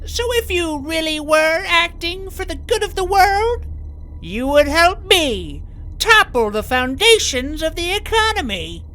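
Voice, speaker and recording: A woman voices a pompous, menacing villain for a comic dub, an idealist locked away. The delivery is slow and very animated, in a high voice. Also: heavily acted, low-quality recording. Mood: menacing zeal. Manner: pompous, philosophising. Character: imprisoned mastermind villain, smug, idealistic and menacing. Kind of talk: theatrical comic dub acting.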